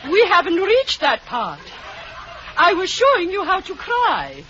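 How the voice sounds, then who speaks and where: A woman's voice: very high voice, wildly swooping pitch, a little slow, highs cut off, over a noisy crowd, heavy background noise, heavily acted. An actress playing a fussy, theatrical female drama coach in an old radio comedy, recorded with a studio audience. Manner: theatrical, gushing, instructing. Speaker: drama coach running auditions. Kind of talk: 1940s radio comedy acting.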